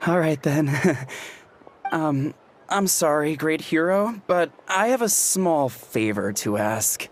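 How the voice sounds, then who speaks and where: An actor voicing an excitable young warrior in a video game, bashful yet bold, earnest and gushing. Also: mid-range voice, slow pace. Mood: sheepish. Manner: stammering when flustered, gushing, earnest. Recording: music behind the voice.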